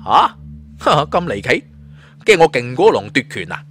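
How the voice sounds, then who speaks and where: A man's voice: medium pitch, very animated, unhurried, fairly bright tone, a little hiss or hum. A man with a Cantonese accent voicing a confident, cunning young martial-arts hero in a story, taunting and glib. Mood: glib, persuasive. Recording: music under the voice.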